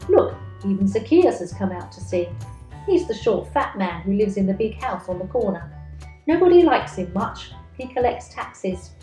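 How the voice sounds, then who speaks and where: A woman's voice: mid-range voice, very animated, normal speed, mellow, echoey room, soft background music, close to an everyday voice, poor audio. A woman voicing a chatty, nosy storybook magpie, a reformed thief who confides in the listener expressively. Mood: curious, puzzled.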